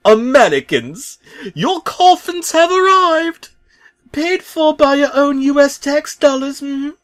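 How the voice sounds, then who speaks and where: A man doing a shouty cartoon builder with a British accent. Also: on the high side, big pitch swings, voice pushed up, full character voice. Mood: ranting. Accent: put-on British. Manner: loud, shouty and drawn-out. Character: cheerful cartoon builder turning ranting fanatic.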